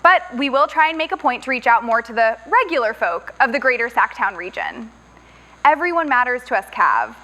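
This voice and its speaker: A woman's voice: fairly high pitch, sing-song, brisk, sharp tone, exaggerated voice, low-quality recording. A woman voicing an unbothered, cheeky visiting radio host who stays airy while trouble breaks out around her, in a fan radio drama. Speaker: visiting co-host of a rival station.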